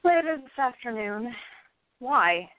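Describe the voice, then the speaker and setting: Woman playing a flustered, high-voiced, discouraged actress. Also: big pitch swings, slow, dry recording. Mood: brisk, wry. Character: hesitant, discouraged young actress, easily flustered. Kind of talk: radio play acting. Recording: tinny phone-like lo-fi audio.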